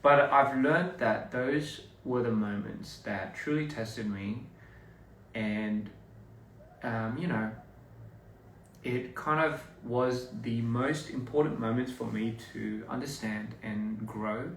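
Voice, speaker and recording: A man's voice: medium pitch, slow, a bit bright, far from the mic, a little hiss or hum. A young man, chatting loosely with his viewers on a live stream and reading their comments aloud. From Australia. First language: English. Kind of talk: casual live stream chat. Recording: echoey room, a bit off-mic.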